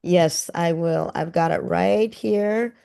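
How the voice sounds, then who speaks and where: Earnest low-voiced woman co-host. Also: slow, vocal fry, mellow, clear, resonant tone. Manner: rambling, filler-heavy, earnest. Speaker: co-host and project organizer. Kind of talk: casual chat.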